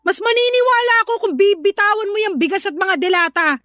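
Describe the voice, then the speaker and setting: A woman's voice: extremely high pitch, expressive, fast talker, bright, forceful tone, no echo, clean studio sound, heavily acted. A woman with a Filipino accent acting a sarcastic, unforgiving elder sister in an audio drama, accusing and scolding.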